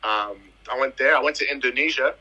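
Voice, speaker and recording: A man in his thirties from the US Virgin Islands tells his football story in a relaxed interview. His voice is very bright and edged with husk, and he leans on repetition for emphasis. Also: medium pitch, brisk, breathy edge, dull audio. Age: 30s. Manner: animated, emphatic, repeats phrases for effect. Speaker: footballer guest.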